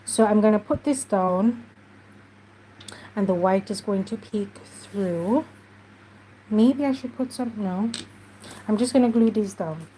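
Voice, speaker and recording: A woman talks slowly and unhurriedly, a little stuffy in the nose. She chats casually as she works through a craft project, with faint room ambience behind her. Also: medium pitch, warm tone, a little hiss or hum, low-quality recording. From Jamaica. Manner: chatty, unhurried, talks while working. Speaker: craft journaling host. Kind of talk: casual craft tutorial chat.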